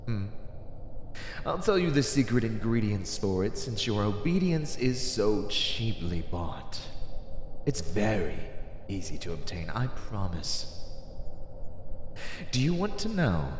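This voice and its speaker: A man's voice: fairly low pitch, darkish tone, reverberant, in a large hall, a little hiss or hum. A man playing an arrogant, cynical fantasy villain in a theatrical roleplay, mocking and condescending as he draws out words for emphasis. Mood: smug lecturing.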